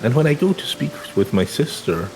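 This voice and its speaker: A man's voice: mid-range voice, sing-song, a little fast, a little mellow, pitched above the performer's own voice, close to an everyday voice, rough recording. A man playing a polite, earnest young adventurer from a video game, formal and humbly reflective. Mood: grateful. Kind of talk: video game dialogue read aloud in character. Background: game music.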